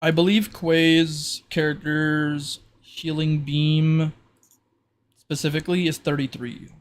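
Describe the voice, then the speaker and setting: A man running an online tabletop roleplay game as game master, halting as he thinks aloud. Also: fairly high pitch, flat delivery.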